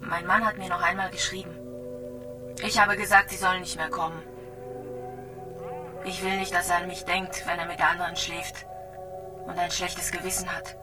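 An actress playing a gravely ill young mother in a radio drama, resigned, wounded and plainly confiding. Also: fairly low pitch, level tone, moderate pace, long pauses, natural voice. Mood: hurt, bitter. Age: young adult. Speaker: hospital patient. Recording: distant from the mic, music behind.

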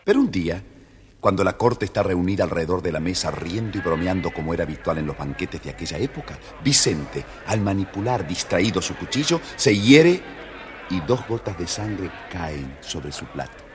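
A man narrating a scripted children's tale as a theatrical, expressive storyteller. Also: low-pitched, moderate pace, a crowd in the background.

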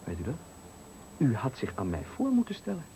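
An actor in a Dutch radio play playing a taunting, menacing stranger who speaks with deliberate, needling politeness in a Netherlands accent. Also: male voice, medium pitch, huge pitch swings, gravelly, warm tone. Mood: mock-polite menace. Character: menacing, taunting male stranger cornering a man at night. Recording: faintly noisy 1980 radio recording.

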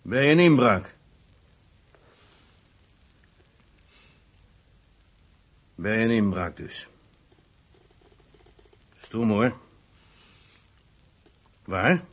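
Husky grown man pushing for answers, terse, pitch moves around a lot. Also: sing-song, very slow, pauses often, full character voice. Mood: probing. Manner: terse, pressing questions. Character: insistent, probing adult male questioner. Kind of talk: radio play acting.

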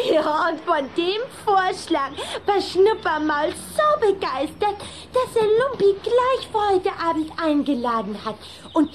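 Little vampire girl: high, unhurried, mischievous and fond, radio drama with clear background noise. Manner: giggly, teasing, playful. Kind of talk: German radio play acting.

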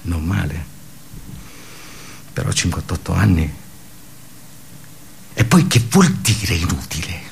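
An actor playing a brooding, darkly ironic office worker in a radio theatre monologue, halting and conversational with emphatic bursts. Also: male voice, low-pitched, big pitch swings, gravelly, slight background noise, heavily acted. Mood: bitter, agitated.

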